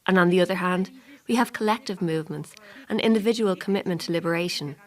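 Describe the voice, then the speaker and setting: A woman voicing an interviewee's words as a translation voice-over, in an earnest, steady read. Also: fairly low pitch, fast talker.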